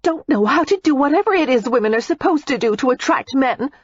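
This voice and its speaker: A woman's voice: sits high, expressive, fairly quick, breathy edge, no room sound, highs cut off, pitched above the performer's own voice. A woman reading an audiobook, voicing a fiery young woman who is indignant and hurt, in a raised, heated, accusing tone. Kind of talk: audiobook character voice.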